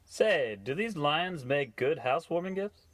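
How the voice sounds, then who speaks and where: A man playing a gullible, cheerful and polite gentleman customer in a parody of old radio comedy. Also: on the high side, lively intonation, unhurried, dry recording. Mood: eager. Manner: chirpy, naive, old-timey politeness.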